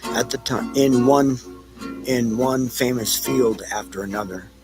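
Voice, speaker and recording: A man narrating an audio play from a script, with a halting delivery that repeats phrases. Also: medium pitch, music in the background.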